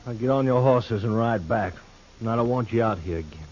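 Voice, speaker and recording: An actor playing a hostile, stubborn young ranch son with a rural Western American accent, curt and threatening, in a radio western. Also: male voice, mid-range voice, lively intonation, a little fast, a little mellow, lightly acted. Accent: rural American West. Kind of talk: 1950s radio western acting. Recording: dull old radio recording, faint noise.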